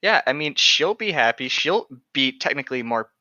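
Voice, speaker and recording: A young man co-hosts a casual chat with a bright, forceful voice at a middling pitch. He banters bluntly, often exasperated. The recording is dry and a little dull, like a voice call. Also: lively intonation, moderate pace, no echo. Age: young adult.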